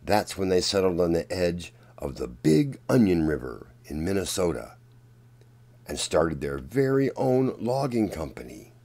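A man reading a children's picture book aloud as a storyteller, in a deliberate, dramatic manner. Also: low-pitched, very animated, warm tone. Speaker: children's storyteller and channel host.

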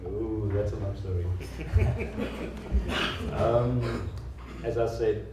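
A man from South Africa in his thirties, a guest telling his life story in an interview, earnest and halting, with many restarts. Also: on the low side, very noisy. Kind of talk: conversational oral-history interview. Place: large echoey room, seated on stage. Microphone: handheld mic. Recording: roomy hall sound, mic picked up from a distance.

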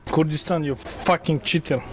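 A man shouting taunts and callouts in broken English over online game voice chat. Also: fairly high pitch, fairly even intonation, moderate pace.

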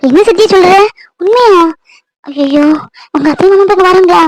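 A woman's voice: very high-pitched, moderate pace, darkish tone, no room sound, faint music behind. A woman voicing a firm, motherly older-sister figure who lectures and reassures a younger woman. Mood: worried. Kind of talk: Tamil domestic audio drama.

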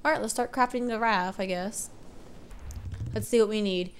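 A woman's voice: mid-range voice, moderate pace, slight background noise. A young woman commentating a video game casually, congested from allergies, rambling and correcting herself often. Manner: rambling, self-correcting, unsure. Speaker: let's play gamer.

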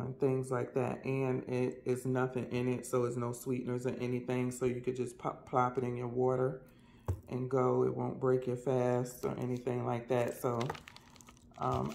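Man, American accent, creaky voice, little pitch movement, colloquial review talk, recorded indoors with faint noise. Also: a little mellow. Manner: folksy, colloquial. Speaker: vlogger reviewing meal products. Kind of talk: casual product review chat.

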